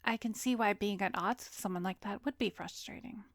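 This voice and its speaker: A woman playing a composed, soothing therapist in an ASMR roleplay, speaking gently and measuredly, often slipping into a breathy whisper, close to the mic. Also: medium pitch, no room sound, natural voice. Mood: probing, insinuating. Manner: measured, gentle, professional, probing. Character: composed, soothing female therapist, secretly an arrogant vampire. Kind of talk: ASMR roleplay, addressed to the listener. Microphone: close studio microphone, dry.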